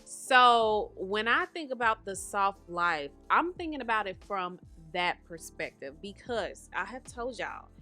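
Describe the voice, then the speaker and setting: A woman in her thirties hosts a podcast from home, chatting casually and calling her listeners honey and baby. Her voice is bright, with a slightly nasal, congested edge today. Faint music plays softly behind her. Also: mid-range voice, animated, unhurried, few pauses. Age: 30s. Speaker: podcast host.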